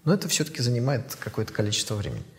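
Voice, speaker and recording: A man in his fifties, an author, giving thoughtful interview answers in a measured way with pauses and fillers. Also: medium pitch, animated, in a large hall. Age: 50s.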